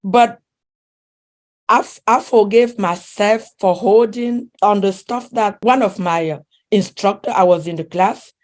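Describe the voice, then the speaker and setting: Vrouw met een accent, warm en open. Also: female voice, medium pitch, measured pace. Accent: non-native English accent. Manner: earnest, with frequent restarts and soft laughs. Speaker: relationship coach. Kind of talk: conversational interview.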